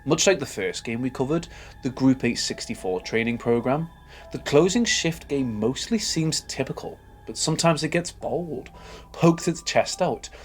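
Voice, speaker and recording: A man narrating a scripted horror game video essay with a dramatic, storytelling delivery, posing rhetorical questions. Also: mid-range voice. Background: background music.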